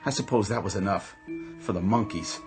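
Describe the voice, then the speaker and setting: An actor playing a bitter, accused suburban husband who grows desperate, emphatic and sarcastic in a radio drama. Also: male voice, fairly low pitch, normal speed, creaky. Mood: grim, bitter. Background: background music.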